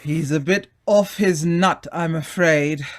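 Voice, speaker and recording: Man acting a slow, theatrical gentleman sleuth. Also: fairly high pitch. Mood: confiding. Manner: theatrical, exclamatory. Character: earnest, take-charge British gentleman amateur sleuth. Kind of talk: old-time radio drama acting.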